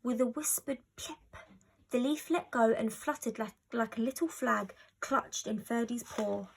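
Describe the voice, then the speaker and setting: A woman reads a children's storybook aloud. Her voice sits fairly high, and she narrates expressively, following the written text. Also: a little slow, creaky, husky. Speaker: storybook reader.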